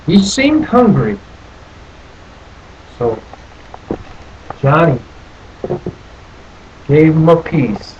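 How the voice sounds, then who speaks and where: A man reading a children's picture book aloud in a folksy, playful, drawn-out way with little asides. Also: a little high, very animated, darkish tone, lo-fi sound, slight background noise. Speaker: storybook reader.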